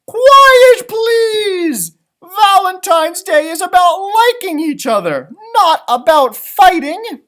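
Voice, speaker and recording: A man voicing a stern, exasperated female schoolteacher from a storybook, loudly scolding and commanding. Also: very high voice, very animated, takes it slowly, pitched above the performer's own voice, exaggerated voice.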